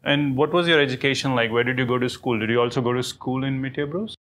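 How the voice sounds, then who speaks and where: An interviewer asking gentle, encouraging questions. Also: medium pitch, steady tone, quick pace.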